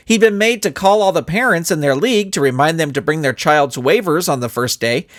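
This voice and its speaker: Animated male storyteller, highish voice. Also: dry recording, studio quality. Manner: expressive storytelling. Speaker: author and narrator. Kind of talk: scripted storybook narration.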